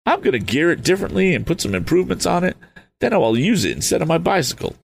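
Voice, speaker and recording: A man's voice: a little high, very animated, quick pace, creaky, a little hiss or hum, pitched above the performer's own voice. A man doing an eager young inventor. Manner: eager, earnest. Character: eager young male inventor, earnest and keen.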